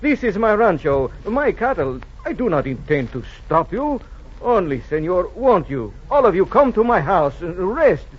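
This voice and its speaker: A commanding yet generous Mexican don in a vintage radio drama. He declaims in a high, husky voice with a Mexican Spanish accent and wide swings of pitch. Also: very animated, moderate pace, exaggerated voice. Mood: gracious. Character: authoritative, generous Mexican ranch owner don. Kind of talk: 1940s radio acting. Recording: muffled 1940s radio recording.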